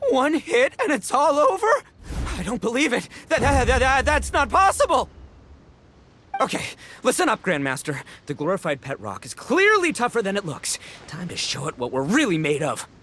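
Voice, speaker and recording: A man's voice: very high-pitched, huge pitch swings, normal speed, bright, forceful tone, a little hiss or hum, exaggerated voice. A man playing a brash, cocky young oni gang boss in an anime game, bombastic and theatrical in delivery. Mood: stunned.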